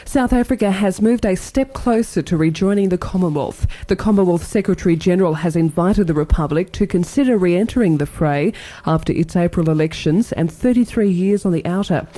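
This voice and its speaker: A woman's voice: on the low side, fairly quick, warm tone. A woman with an Australian accent reading a radio news bulletin. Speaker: newsreader.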